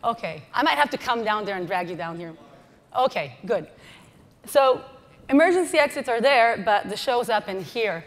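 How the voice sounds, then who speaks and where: A woman's voice: fairly high pitch, animated, normal speed. A woman in her thirties from Israel, with an Israeli accent, giving a playful, audience-teasing conference presentation on a large stage. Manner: playful, teasing the audience with flight-attendant jokes. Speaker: conference speaker. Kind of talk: lively keynote presentation. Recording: hall reverb.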